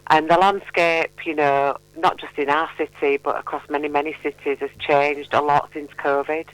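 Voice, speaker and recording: A woman's voice: low voice, flat delivery, normal speed, low-quality recording. A middle-aged woman chatting as a charity guest in a radio interview, playful and encouraging. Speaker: mental health charity representative, radio guest.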